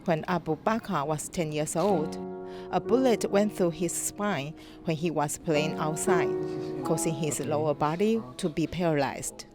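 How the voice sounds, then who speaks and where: Low-pitched woman documentary narrator. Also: music in the background. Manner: measured, formal reading.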